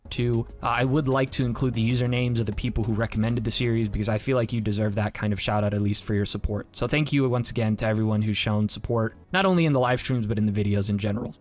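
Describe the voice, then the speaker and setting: A man's voice: lowish voice, quick pace, hardly any pauses. A man narrating a scripted review in the manner of an online video creator. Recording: music under the voice. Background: background music.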